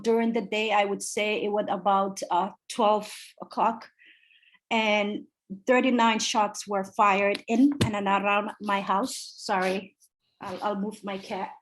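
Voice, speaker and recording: A woman's voice: medium pitch, rough recording. A woman in her forties from Somalia, a city council candidate answering interview questions earnestly over a video call. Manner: earnest, emphatic, repeats key phrases.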